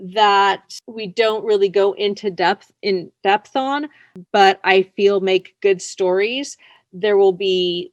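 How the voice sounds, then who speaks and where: A woman's voice: mid-range voice, no echo. A woman speaking casually as an author being interviewed.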